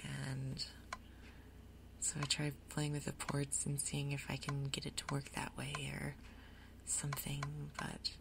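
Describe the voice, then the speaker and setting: A woman giving soft-spoken ASMR game commentary in a breathy, gentle, unhurried way. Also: sits low, background noise. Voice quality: breathy, whispery. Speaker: gaming video host.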